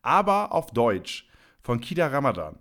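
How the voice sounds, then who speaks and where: Male co-host, mid-pitched, rambling and wry, casual chat. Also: very animated, measured pace, fairly bright tone. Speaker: podcast co-host.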